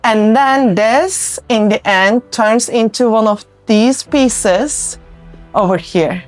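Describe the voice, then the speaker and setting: A woman's voice: fairly high pitch, takes it slowly, soft background music. A woman from Turkey with a Turkish accent, chatting informally as an artist interviewed about her work, often voicing her own thoughts as quotes. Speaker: ceramic artist, interview guest.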